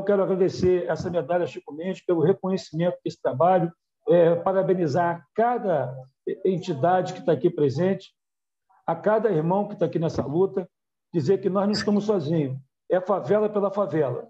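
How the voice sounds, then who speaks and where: Middle aged guy from rio, high soft-edged voice, giving thanks on a dull video call. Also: a little fast. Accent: Rio de Janeiro. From Rio de Janeiro, Brazil. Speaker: community movement leader. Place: small room on a video call. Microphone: wired earphone mic.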